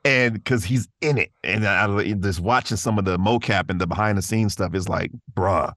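Deep-voiced man in earnest casual conversation. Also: normal speed, a bit bright, dry recording, clean studio sound. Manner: earnest, enthusiastic, laid-back slangy phrasing. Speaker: interview guest, voice actor.

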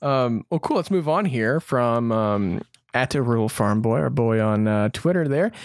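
A man's voice: medium pitch, animated, moderate pace, no room sound. Man co-hosting a podcast, dry close sound. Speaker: podcast co-host. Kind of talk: casual chat about fan questions.

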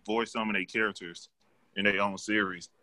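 Deep-voiced man in casual chat. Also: steady tone, normal speed, pushed and bright, no echo. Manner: casual, swearing, many fillers.

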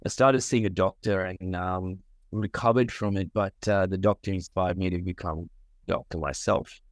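A man from Sri Lanka, a medical doctor, answering interview questions earnestly, pausing often with fillers. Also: sits low, steady tone, no room sound. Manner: earnest, with frequent pauses and fillers.

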